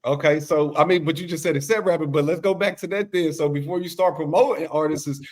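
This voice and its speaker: A man's voice: fairly high pitch, quick pace. A man hosting a casual podcast interview, chatty and hyped, peppering his talk with slang. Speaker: podcast host.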